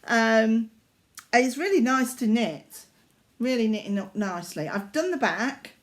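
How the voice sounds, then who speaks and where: Slow, chatty older Yorkshire woman. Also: a little high, lively intonation. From Yorkshire, England. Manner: slow, chatty and rambling, with many fillers and self-corrections. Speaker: craft vlogger. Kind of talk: casual chat showing needlework.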